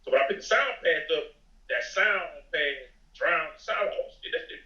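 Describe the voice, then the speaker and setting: A man's voice: a little high, animated, brisk, a loud crowd. A man chatting casually as a podcast guest, rambling and repeating his words as he goes. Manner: rambling, repeats words, frequent "you know". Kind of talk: casual chat. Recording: echoey, distant, slightly dull mic.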